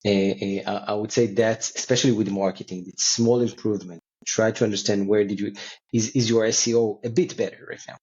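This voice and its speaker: Halting man, dull lo-fi sound. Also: lowish voice, fairly even intonation, a little mellow. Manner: halting, repeats words and phrases. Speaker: company co-founder and CEO, interview guest. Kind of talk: conversational interview answers. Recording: dull, lo-fi call audio.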